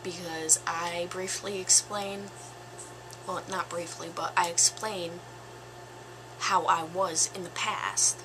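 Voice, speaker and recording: A woman in her twenties with a slightly raspy voice, giving her opinion in a casual vlog, slow and thoughtful with pauses and fillers. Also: on the low side, fairly even intonation, background noise. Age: 20s. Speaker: vlogger.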